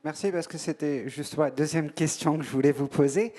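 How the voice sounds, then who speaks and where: Male interviewer, polite and careful, slightly higher pitch. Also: fairly even intonation, a little fast, dark tone. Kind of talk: conference interview questions.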